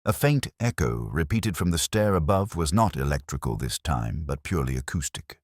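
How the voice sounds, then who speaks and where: A man reading a dramatic story in a grave, measured storytelling manner. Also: a bass voice, sing-song, dry recording, studio quality. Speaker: audiobook narrator. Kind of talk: scripted dramatic story narration.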